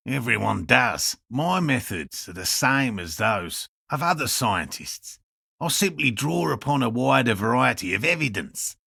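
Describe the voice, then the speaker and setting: A man playing an earnest, fussy cryptozoologist in a video game, a proud outsider scientist with a gravelly, husky voice and precise, bookish phrasing. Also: mid-range voice, bright, forceful tone, dry recording, clean studio sound, exaggerated voice. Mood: earnest, defensive. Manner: precise, bookish, formal wording. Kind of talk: video game dialogue acting.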